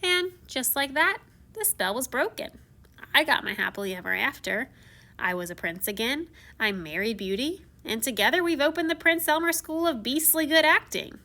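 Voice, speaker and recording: Storybook prince-turned-beast, read by a woman: dramatic, self-mocking, confiding, bright higher voice. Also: expressive, normal speed, heavily acted. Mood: pleased. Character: theatrical, once-shy young prince turned beast, telling his tale. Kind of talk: first-person storybook read-aloud.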